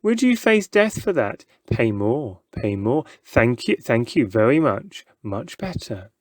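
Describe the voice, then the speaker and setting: A man's voice: medium pitch, big pitch swings, measured pace, darkish tone, no room sound, studio quality. A man voicing a theatrical showman in a storybook, goading and coaxing a crowd insistently. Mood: scornful.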